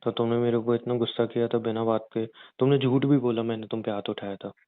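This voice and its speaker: A man's voice: medium pitch, level tone, brisk, smooth, natural voice. A man playing a pleading, sulky young husband in an intimate staged conversation, coaxing and insistent, over thin phone-like audio. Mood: hurt, sulky.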